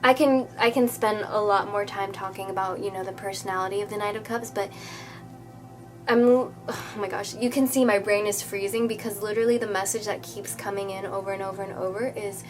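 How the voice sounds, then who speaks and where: A woman's voice: medium pitch, steady tone, brisk, faint music behind. A woman doing a casual tarot reading who sounds a bit nasal from a cold, talking in a chatty, reassuring way with lots of "okay?" and fillers. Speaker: tarot reader.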